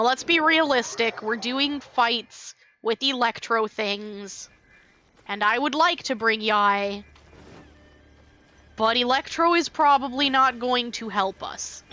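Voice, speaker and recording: A woman's voice: on the high side, slow pace, sharp tone, faint music behind. A woman giving casual, playful commentary as she streams a game, talking back to the characters. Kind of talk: casual live gameplay commentary.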